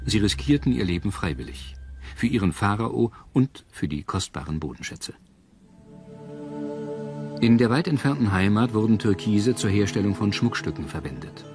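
A man narrating a scripted history documentary in a measured, dramatic storytelling manner. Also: on the low side, animated, darkish tone. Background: prominent music.

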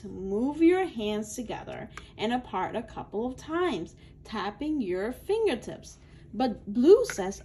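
A woman's voice: fairly high pitch, mellow, slightly rough. A woman reading aloud to young children in an instructional way, adding her own asides and stretching out key words. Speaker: children's book reader.

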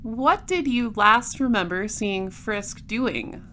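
A woman playing a polite, persistent space patrol detective in an audio drama, questioning in a formal, measured way. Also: a little high, animated, bright, forceful tone, a little hiss or hum, heavily acted. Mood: composed, businesslike. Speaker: lead detective and narrator.

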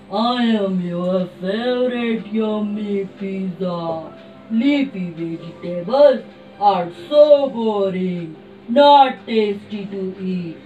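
A gruff, bragging pizza puppet, voiced by a woman, bossy and pushy. It is pitched lower than her own voice and comes slowly from far off in the room. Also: dark tone, reverberant. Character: boastful cheesy pizza puppet. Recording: distant room mic.

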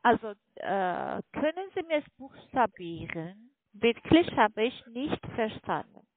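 A woman call centre agent with a foreign accent, not a native speaker, instructing a customer over the telephone in halting phrases. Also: on the high side, big pitch swings, no echo. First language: not German. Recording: tinny narrowband phone line.

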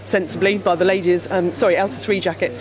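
A woman's voice: on the low side, flat delivery, fast. A woman in her thirties explaining products at a trade show stand, talking with many fillers and restarts into a handheld mic. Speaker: company representative. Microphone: handheld mic held by interviewer. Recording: crowd chatter behind.

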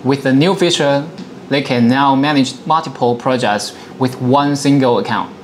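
A man in his thirties presenting a software demonstration, explaining things step by step in a dialogue. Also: medium pitch, moderate pace, a little hiss or hum. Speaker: product presenter.

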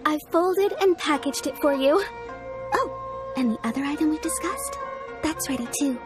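A woman's voice: sits high, animated, normal speed, over music. A woman voicing a courteous shopkeeper in a video game, speaking politely. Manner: polite, service-minded.

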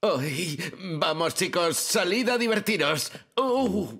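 An actor playing a gentle, apologetic, affectionate dad in a children's cartoon dub. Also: male voice, sits high, animated, slow pace, full character voice. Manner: affectionate, mild. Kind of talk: dubbed children's cartoon acting.

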